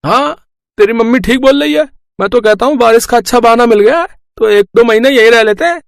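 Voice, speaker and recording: A man plays a boastful, freeloading brother-in-law in an audio family story. His voice is strikingly high and dryly recorded, with a blunt, condescending manner. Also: brisk, gravelly, no echo. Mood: scheming. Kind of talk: scripted Hindi moral-story acting.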